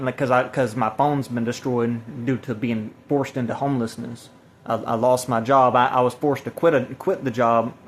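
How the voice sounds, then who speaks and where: A man talks in a rambling, personal way, like someone recording his own testimony. His pitch sits in the middle and barely moves. He is halting, often repeating and restarting his phrases. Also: a little hiss or hum. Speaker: self-described evangelist recording a personal video. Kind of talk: rambling spoken testimony to camera or phone.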